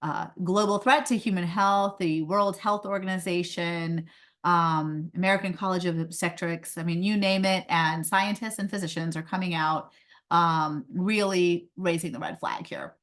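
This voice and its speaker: A woman's voice: on the low side, fairly even intonation, moderate pace, a bit bright. A woman who grew up in India, a pediatrician and company founder, giving a conversational webinar talk with frequent fillers over a video call. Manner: conversational, many um fillers, light laughs. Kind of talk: webinar presentation.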